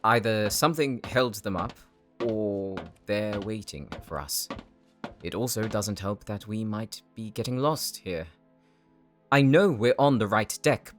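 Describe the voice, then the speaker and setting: A man's voice: on the low side, fairly bright tone. A man playing a serious, dutiful team leader on a combat mission, speaking in an earnest, tactical way in an audio roleplay. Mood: uneasy.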